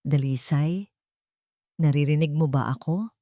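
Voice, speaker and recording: A woman's voice: sits low, measured pace, mellow, no echo, studio quality. A woman voicing an urgent, protective doctor in an audio drama, speaking in an earnest, instructing way. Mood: concerned. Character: urgent, protective doctor helping a betrayed patient.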